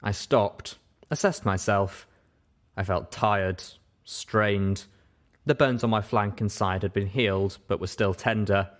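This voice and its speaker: A man narrating a story in an expressive audiobook style. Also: lowish voice, slow pace.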